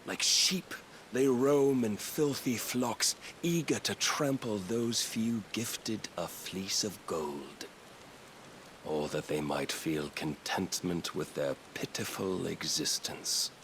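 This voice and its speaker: An actor playing a sinister, contemptuous zealot villain in a fantasy video game, speaking in a grandiose, measured, sermonizing way. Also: male voice, fairly low pitch, a bit bright, a little hiss or hum. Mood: scornful, preaching. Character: sinister zealot male villain, contemptuous of mankind, preaching salvation.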